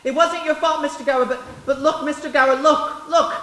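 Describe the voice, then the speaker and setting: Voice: high-pitched, fairly even intonation, brisk, a bit bright. An actor playing an earnest, polite, dreamy young boy who helps in a shop, in a live radio play. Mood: frightened, pleading. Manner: eager, earnest. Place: stage of a live theater performance. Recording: echoey stage.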